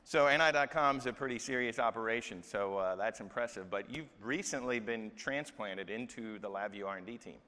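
Bright, casual man hosting a stage demo. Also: fairly low pitch, a little fast, hardly any pauses. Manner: casual, joking, asks leading questions. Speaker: demo host. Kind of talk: live stage product demo. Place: large stage, hall. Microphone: lapel mic. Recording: reverberant hall.

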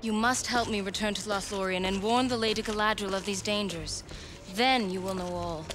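An actress playing a solemn, commanding elf noblewoman and warrior, formal and measured, in a fantasy game. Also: mid-range voice, fairly even intonation, background noise, lightly acted. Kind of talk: dramatic game cutscene acting. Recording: music behind.

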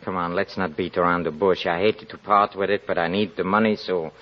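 An actor playing a proud, guilt-ridden, impoverished young writer in an old-time radio drama, speaking haltingly and tensely. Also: male voice, low-pitched, fairly even intonation. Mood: defensive, testy. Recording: dull, lo-fi old radio recording.

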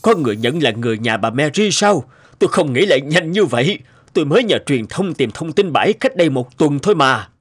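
Cartoon dad type, bit raspy, really lively intonation. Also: male voice, on the high side, fairly quick. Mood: concerned, businesslike. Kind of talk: animated moral-tale voice acting.

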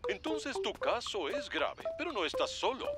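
A man's voice: very high voice, huge pitch swings, normal speed, full character voice. A man playing an urgent, hard-selling announcer in a cartoon parody commercial. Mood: urgent salesmanship. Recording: loud music behind the announcer.

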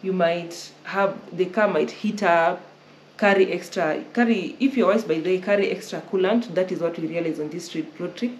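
A woman's voice: lowish voice, normal speed. Young Kenyan woman, casual vlog host, talks it through and rephrases, roomy living room sound. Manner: repeats and rephrases while explaining. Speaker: travel vlogger host. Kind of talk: casual Q&A advice. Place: indoor living room, on a sofa. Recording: roomy with faint noise.

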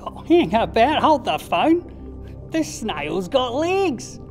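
A woman voicing a curious, excitable young striped fish in a children's puppet show. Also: fairly high pitch, slow pace, music in the background. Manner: excitable, easily baffled.

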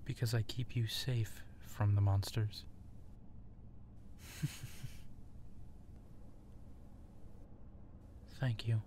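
A man playing a gentle, awkward, self-deprecating werewolf friend in an intimate ASMR audio drama, speaking softly and haltingly. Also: fairly low pitch, fairly even intonation, a little hiss or hum. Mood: vulnerable, hesitant. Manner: hesitant, soft-spoken, halting, often slipping into whispers.

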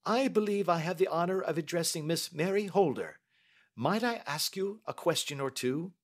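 A man voicing a brisk, astute Victorian gentleman detective with crisp, courteous questioning, in audiobook style. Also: on the high side, lively intonation, voice pushed up. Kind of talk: audiobook character acting.

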